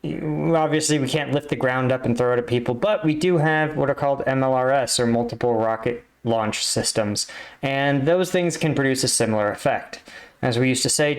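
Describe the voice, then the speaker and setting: A man giving casual reaction commentary, explaining military points step by step and checking in with the listener. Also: medium pitch. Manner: explanatory, often checks in with "right?". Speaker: host, army combat veteran reacting.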